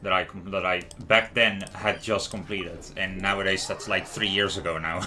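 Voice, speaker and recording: A man's voice: deep voice, steady tone, normal speed, bright, forceful tone, soft background music. A man giving casual live gameplay commentary as a streamer, rambling and stumbling over words. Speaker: game streamer.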